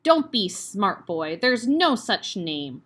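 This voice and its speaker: A woman's voice: mid-range voice, big pitch swings, moderate pace, pushed and bright. A woman voicing a huge, bullying headmistress who barks and snaps with contempt. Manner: barking, snapping, contemptuous.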